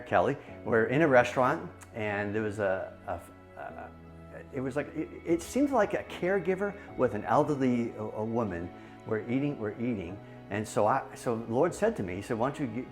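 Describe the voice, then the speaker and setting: A man in his forties from New Hampshire tells his story in a church video, hesitating and restarting often. His voice sits a bit low, with faint music underneath. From New Hampshire, USA. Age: 40s. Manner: halting, many fillers and restarts. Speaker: church member, small business owner. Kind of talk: testimony video interview.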